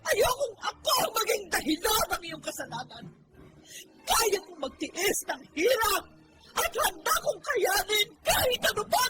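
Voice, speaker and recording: Radio drama mother, Filipino accent: tearful, pleading, upright, very high and animated, over clear noise. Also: measured pace, full character voice. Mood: anguished. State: crying. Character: anguished, upright, long-suffering mother scolding her son. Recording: noisy track with clicks.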